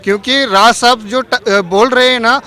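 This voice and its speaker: A young man from Maharashtra with a Marathi accent, answering in a casual street interview, halting as he searches for words. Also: very high-pitched, steady tone, pushed and bright. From Maharashtra, India. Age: young adult. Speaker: party supporter interviewed.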